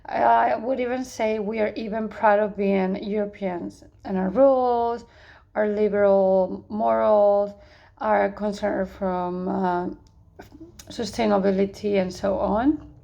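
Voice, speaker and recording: A young woman from Spain speaks English with a Spanish accent, reading a prepared course answer. Her voice sits at an ordinary middle pitch, and she keeps an earnest, measured pace, stumbling now and then into a quick restart. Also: steady tone, vocal fry, mellow, lo-fi sound. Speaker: student.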